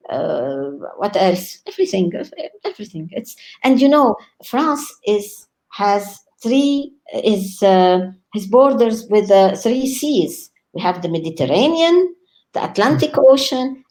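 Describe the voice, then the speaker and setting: A woman's voice: mid-range voice, expressive, slow. Lebanese-born woman, enthusiastic interview guest. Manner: warm, enthusiastic, frequent laughs and hesitations. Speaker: guest scholar. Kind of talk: online interview.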